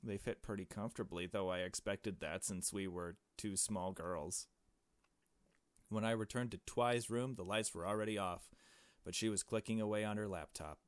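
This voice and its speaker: Man voicing small girl, visual novel narrator, curious, earnest, persistent, lowish pitch, dry recording. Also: fairly even intonation, moderate pace, clear, resonant tone, no echo, close to an everyday voice. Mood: reflective. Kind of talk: visual novel dialogue read aloud.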